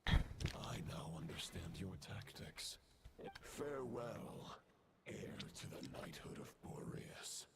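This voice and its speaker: A man's voice: on the low side, expressive, slow pace, gravelly, exaggerated voice. A man voicing a solemn, menacing spectral knight villain in a video game, with ominous archaic formality. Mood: solemn menace. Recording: loud ambient noise behind.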